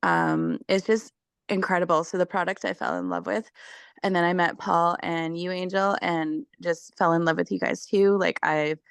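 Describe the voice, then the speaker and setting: Husky-voiced woman, gravelly and low. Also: lively intonation, normal speed, no echo, studio quality. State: losing her voice. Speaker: network marketing guest. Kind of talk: video call testimonial. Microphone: video call.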